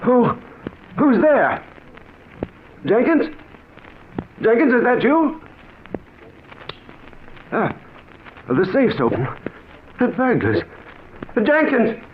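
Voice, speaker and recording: Vintage radio play: outraged, panicky old householder, shrill, slow halting cries that swing wildly, echoing. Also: male voice, wildly swooping pitch, phone-quality sound, a little hiss or hum, heavily acted. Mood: frightened. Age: older. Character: frightened, outraged old householder calling his butler. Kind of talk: old-time radio crime drama acting. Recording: echoey, phone-thin vintage radio recording.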